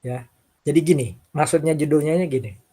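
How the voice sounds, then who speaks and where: A man with a husky voice, lecturing informally and talking through worked examples, often checking in with short tags. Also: a little high, fairly quick, mellow. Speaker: accounting lecturer.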